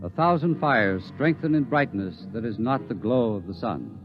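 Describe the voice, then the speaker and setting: Grave theatrical radio drama narrator. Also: male voice, mid-range voice, sing-song, moderate pace, music in the background. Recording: dull lo-fi vintage radio broadcast.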